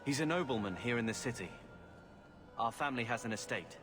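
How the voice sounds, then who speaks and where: Man as a moody young refugee, very expressive. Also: mid-range voice, a little fast, natural voice. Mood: pleading. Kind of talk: video game voice acting. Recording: long reverb, music behind.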